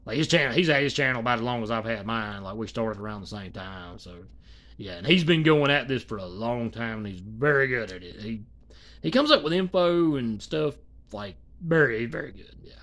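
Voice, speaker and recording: A man's voice: medium pitch, lively intonation, fairly quick, fairly bright tone. A man in his thirties rambling in casual, colloquial commentary, full of restarts and asides, filmed close up in a small dim room. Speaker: commentary vlogger. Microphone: phone or webcam close to face.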